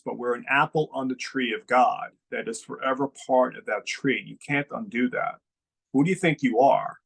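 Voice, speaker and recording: A man with a gravelly, creaky voice speaks slowly in an unscripted spiritual talk. He is reflective and keeps asking his listeners questions. His pitch sits in the middle range. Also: fairly bright tone, rough recording. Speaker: spiritual teacher.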